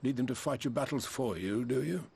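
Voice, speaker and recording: An actor playing a haughty political advisor in a video game, eloquent, condescending and sardonic. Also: male voice, medium pitch, brisk, vocal fry, a little mellow, husky. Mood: mocking. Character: haughty sneering male political advisor, antagonist.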